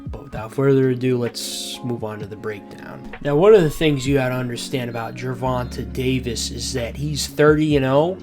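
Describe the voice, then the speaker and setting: A man's voice: medium pitch, moderate pace, few pauses, darkish tone, soft background music. A man with a bad cold, sounding congested, casually narrating a boxing fight breakdown as host of his channel, with slangy asides and loose restarts. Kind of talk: voice-over fight breakdown commentary.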